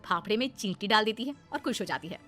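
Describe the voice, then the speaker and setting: A woman narrating an animated moral story in an expressive storytelling manner. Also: medium pitch, fast talker, soft background music.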